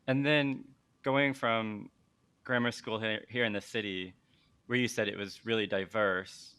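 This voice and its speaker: Upbeat, crisp-voiced male podcast host. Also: on the low side, fairly even intonation, a little slow, fairly bright tone, dry recording. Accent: Chicago. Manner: upbeat, friendly interviewer with easy laughs. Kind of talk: casual interview chat.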